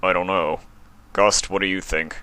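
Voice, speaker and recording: A man's voice: deep voice, little pitch movement, moderate pace, pushed and bright, clean tone. A man voicing a dutiful male unicorn palace guard with formal, clipped speech in a story reading.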